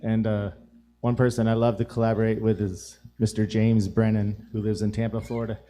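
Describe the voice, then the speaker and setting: Hoarse, raspy-voiced man, low and mellow. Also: flat delivery, fairly quick, a little hiss or hum. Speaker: guest musician. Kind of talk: casual chat on a live show.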